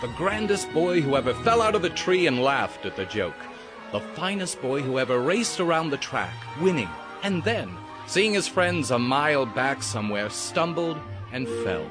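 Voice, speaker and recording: A man's voice: on the high side, big pitch swings, normal speed. A man giving dramatic, scripted storybook narration in an audio drama. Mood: fond, grand. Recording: music behind the voice.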